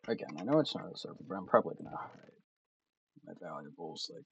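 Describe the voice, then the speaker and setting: Deep husky man thinking aloud. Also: sing-song, fast, dull audio. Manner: thinks aloud, meandering and self-talking. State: voice starting to tire. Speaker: let's play gamer. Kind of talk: casual gameplay commentary.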